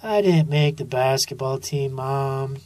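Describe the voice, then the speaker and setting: A woman playing a young boy sloth in a storybook, easily discouraged, with drawn-out, plaintive words. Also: very deep voice, a little mellow. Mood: dejected.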